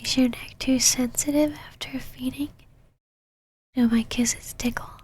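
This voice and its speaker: A woman playing a possessive, teasing, domineering female vampire knight in an ASMR roleplay, mostly in a breathy whisper with a commanding manner. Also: sits high, steady tone, a little slow, darkish tone, exaggerated voice.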